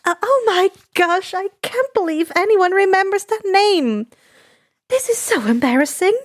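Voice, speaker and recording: A woman's voice: very high-pitched, animated, a little slow, pitched above the performer's own voice. A woman voicing a flustered, motherly middle-aged former vigilante with an earnest, fussy way of talking, in a story reading. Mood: embarrassed.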